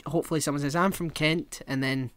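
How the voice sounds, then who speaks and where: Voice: medium pitch, quick pace, no room sound, clean studio sound. A podcast co-host chatting casually and swearing freely while telling rambling comic stories. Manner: rambling, animated storyteller.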